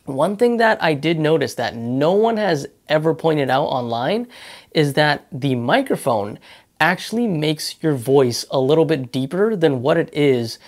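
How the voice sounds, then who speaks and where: A man's voice: a little high, expressive, unhurried. A man giving a casual spoken review of a camera to his viewers, talking conversationally into a lavalier mic and offering direct advice. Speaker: tech product reviewer.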